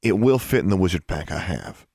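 A man's voice: sits low, sing-song, brisk, vocal fry, darkish tone, no room sound, studio quality, heavily acted. A man voicing a hot-tempered, protective young wizard in an audiobook story, with a growling, snappish manner. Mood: resigned. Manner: growling, snapping, sighing through clenched teeth.

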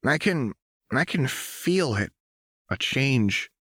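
A man voicing a fragile, volatile young woman in an audiobook, halting and shaky, repeating herself in distress. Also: medium pitch, expressive, a bit bright, no echo, clean studio sound, pitched above the performer's own voice. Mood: anxious, pleading.

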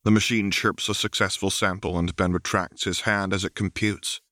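A man's voice: low-pitched, flat delivery, normal speed, pushed and bright, no room sound, clean studio sound. A man reading scripted fiction aloud as an audiobook narrator. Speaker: audiobook reader.

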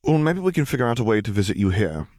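An earnest young male graduate student, acted by a man reading an audiobook, chatty and a little sheepish. His voice sits higher than the narration and he tends to ramble self-deprecatingly. The sound is dry. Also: very animated, fast talker, a little mellow, no room sound. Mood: tentative, hopeful.

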